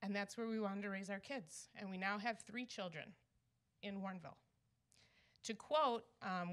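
A woman's voice: medium pitch, a bit bright. A woman in her thirties giving public comment at a meeting, her voice nervous and a little shaky. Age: 30s. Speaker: resident and teacher speaking at public comment.

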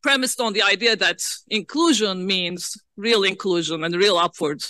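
Bright, measured woman panelist. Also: mid-range voice, dry recording. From Turkey. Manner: measured, frequent "uh" fillers, occasional soft laughs. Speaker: panel speaker. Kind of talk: academic roundtable remarks. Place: large lecture hall.

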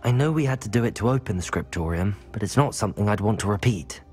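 A man playing a calm, sympathetic young student wizard in a video game, measured and polite. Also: lowish voice, close to an everyday voice. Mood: curious, measured. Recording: music under the voice. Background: music.

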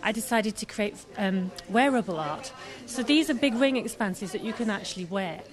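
A woman's voice: mid-range voice, expressive, moderate pace, a crowd in the background. A young woman from Scotland, an artist being interviewed live in a pub, talking enthusiastically with many fillers into a handheld microphone. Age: young adult. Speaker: artist guest. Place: busy pub. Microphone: handheld mic held by host.

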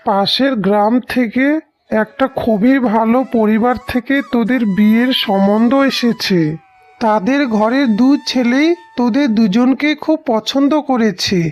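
A woman doing a gentle old farmer father. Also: a little high, a little slow, lightly acted. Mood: coaxing. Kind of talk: cartoon voice acting. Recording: slightly dull lo-fi audio with music.

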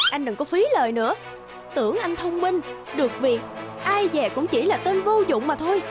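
Haughty high-pitched young woman. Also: very animated, moderate pace, loud music behind the voice. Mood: scornful. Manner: scornful, mocking. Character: haughty, scheming young female executive, boss's daughter. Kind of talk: dubbed cartoon acting.

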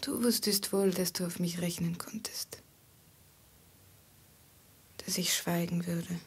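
Grieving devoted lover, very breathy whisper, slow, tender poetic phrasing, 1950s radio drama. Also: female voice, lowish voice, steady tone, exaggerated voice. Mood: tender sorrow. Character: forsaken, devoted young lover grieving softly. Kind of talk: 1950s German radio play acting.

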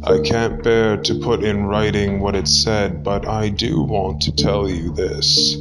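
Slow, gravelly man narrating horror. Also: on the low side, steady tone, over loud music. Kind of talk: dramatic scripted horror narration.

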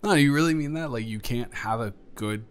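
Guy acting as a nervous young man sweet on his best friend, rambly and stammering, chatty audio roleplay, medium pitch. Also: fairly quick, smooth, lightly acted. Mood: hesitant, tender. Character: nervous, devoted young male friend about to confess love. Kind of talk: improvised intimate audio roleplay, conversational.

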